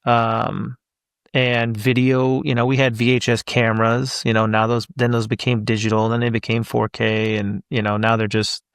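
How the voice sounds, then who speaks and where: A man's voice: mid-range voice, flat delivery, moderate pace, vocal fry, clear, resonant tone, no echo, clean studio sound. A man in his thirties, a voice actor guest on a podcast, talking casually and thinking aloud with plenty of fillers.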